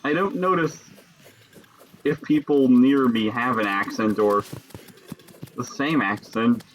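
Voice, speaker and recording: A young man from Chicago with a Chicago accent, chatting casually with viewers during a game stream and musing between pauses. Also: medium pitch, a little hiss or hum, rough recording. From Chicago, Illinois. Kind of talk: casual live-stream chat while gaming.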